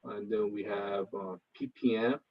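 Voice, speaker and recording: A man's voice: fairly low pitch, fairly even intonation, unhurried. Hesitant man with a Koreatown accent. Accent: Los Angeles Koreatown. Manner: hesitant, many filler sounds. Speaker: presenter, finance professional. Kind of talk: casual info session presentation. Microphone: video call. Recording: slightly dull video call audio.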